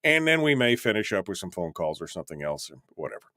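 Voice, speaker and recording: A man's voice: low-pitched, big pitch swings, quick pace, pushed and bright, dry recording. Man, radio host, casual and rambling, lots of fillers, jokey, dry close sound. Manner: rambling, filler-heavy, jokey and self-deprecating. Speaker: radio talk show host. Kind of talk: casual live talk radio. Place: radio studio.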